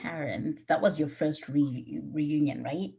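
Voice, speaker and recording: A woman hosting an interview show, chatting in an informal, expressive and encouraging way. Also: deep voice, measured pace, gravelly. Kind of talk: casual chat.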